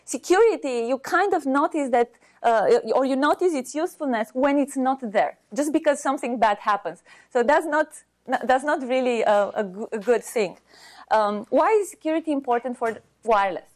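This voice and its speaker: A woman with a Romanian accent giving an unscripted academic seminar talk as a university researcher, halting as she searches for words. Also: sits high, animated.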